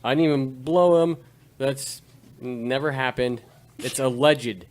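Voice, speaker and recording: A man from Wales hosting a podcast, trading crude jokes and banter in casual chat and breaking into loud laughter. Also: medium pitch, slow pace. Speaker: podcast host.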